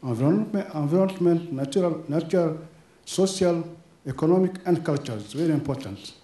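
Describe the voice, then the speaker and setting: A man speaking English as a second language, commenting from the floor at a conference, often pausing and repeating words. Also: on the high side, lively intonation, warm tone. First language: Slovene or French. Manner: halting, repeats words, apologizes for his English. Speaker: audience member commenting. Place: large bright conference room. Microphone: handheld mic. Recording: echoey conference room.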